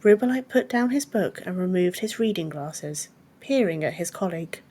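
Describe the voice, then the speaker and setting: A woman's voice: on the low side, lively intonation, moderate pace, mellow, husky. A woman reading scripted fantasy fiction as an audiobook narrator.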